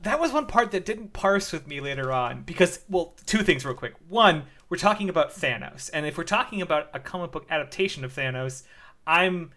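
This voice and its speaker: A man's voice: fairly high pitch, expressive, brisk, bright, forceful tone. A man co-hosting a podcast, chatting casually, hesitating often with restarts and fillers. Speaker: podcast co-host. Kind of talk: casual chat.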